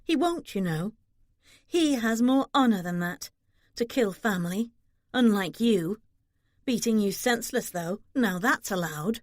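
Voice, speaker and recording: A woman voicing a scornful, defiant young noblewoman in an audiobook, with a sharp, challenging manner. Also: mid-range voice, lively intonation, unhurried, no echo, clean studio sound, natural voice. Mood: firm, scornful. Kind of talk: audiobook character dialogue.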